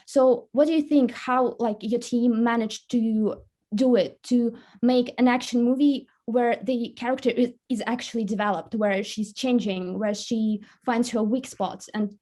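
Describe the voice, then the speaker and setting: A woman's voice: a little high, steady tone, measured pace, warm tone. A woman interviewer asking questions casually, tentatively feeling her way through each one.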